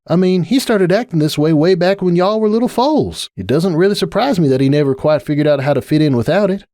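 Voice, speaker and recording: Casting: man playing folksy cartoon farm mare, raised pitch, sincere and steadfast. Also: dark tone, dry recording, studio quality. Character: earnest, patient young female farm pony, folksy and steadfast. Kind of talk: dramatic fan fiction reading.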